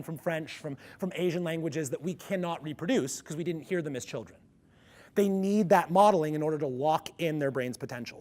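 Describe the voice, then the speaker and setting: A man presenting a music education workshop to a live audience, with a ringy, resonant voice and an energetic coaching manner. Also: on the high side. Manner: energetic, coaching the audience through vocal exercises. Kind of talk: conference workshop talk. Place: conference room with a live audience.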